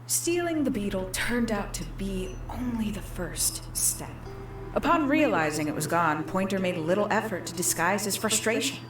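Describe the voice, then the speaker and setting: A woman playing a calculating young villain in a video game, confessing her schemes in measured, deliberate, theatrical storytelling. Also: medium pitch, lively intonation, lightly acted. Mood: sly, composed. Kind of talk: scripted video game character monologue. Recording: echoey, with music behind.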